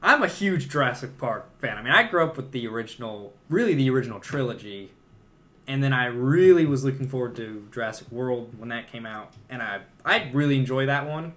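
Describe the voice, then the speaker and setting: A man's voice: mid-range voice, lively intonation, brisk, fairly bright tone. A man in casual conversation as a podcast co-host discussing films.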